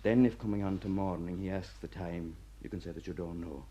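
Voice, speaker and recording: An actor playing an older Irish prison warder, gruff yet fatherly, lecturing wryly in a radio play. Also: deep voice, steady tone, fairly quick, dark tone, natural voice. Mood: instructing.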